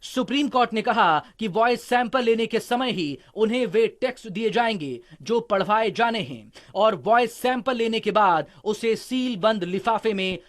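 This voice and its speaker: A man's voice: high-pitched, moderate pace, few pauses. A man reading a scripted voice-over for a television news report.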